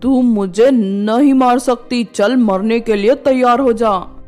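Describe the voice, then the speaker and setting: A woman's voice: a little high, slow, a little mellow, faint music behind. A woman voicing a stern, commanding male village exorcist in a storybook horror tale, making grave pronouncements. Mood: defiant. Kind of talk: storybook character voice.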